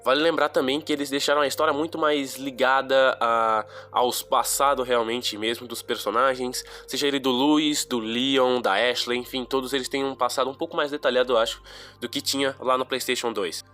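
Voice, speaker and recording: A young man with a Brazilian accent talks through a game review in a loose, slangy, rambling way. His voice sits at an ordinary middle pitch and sounds nasal and congested from a cold. Faint music plays quietly behind him. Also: steady tone, a little fast. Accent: Brazilian Portuguese. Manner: slangy, chatty, rambling asides. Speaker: gaming YouTuber. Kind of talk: casual game review monologue.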